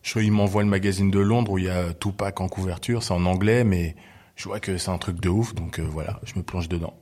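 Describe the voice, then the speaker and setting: A man in his forties with a very low voice tells his story as an interview guest. He talks loosely and easily, often stopping on fillers to find his words. He grew up in Belgium. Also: brisk. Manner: relaxed, lots of hesitations. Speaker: guest, hip-hop journalist. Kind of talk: casual interview chat.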